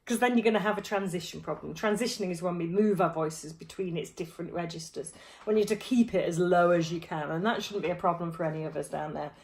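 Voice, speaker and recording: Friendly female choir coach, thirties, lower voice, relaxed teaching tone, laptop-mic home recording. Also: fast talker, vocal fry. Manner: friendly, demonstrative, touching throat and jaw while explaining. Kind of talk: vocal warm-up lesson to camera. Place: small room at home, indoors.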